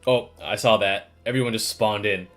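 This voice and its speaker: A man's voice: on the low side, normal speed, fairly bright tone, faint music behind. A man streaming a game with casual, off-the-cuff playthrough commentary.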